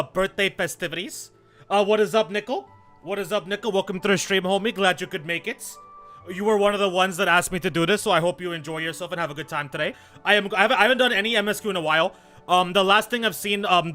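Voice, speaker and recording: High-pitched, bright-voiced man live streaming. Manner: slangy, repeats himself, greets viewers mid-thought. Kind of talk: casual live stream chat and game commentary.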